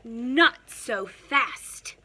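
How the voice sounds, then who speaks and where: A woman's voice: on the high side, very slow, sharp tone, breathy edge, exaggerated voice. A woman voicing a strict, snappish, witch-like substitute teacher in a children's storybook. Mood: menacing hiss. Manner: snappish, bossy, stern.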